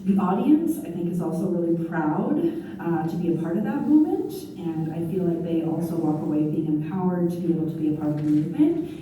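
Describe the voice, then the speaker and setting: Low mellow woman talking thoughtfully, lots of uhs, echoey room. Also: very animated, a little hiss or hum. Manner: thoughtful, measured, with many fillers. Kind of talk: reflective commentary. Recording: echoey room, distant mic.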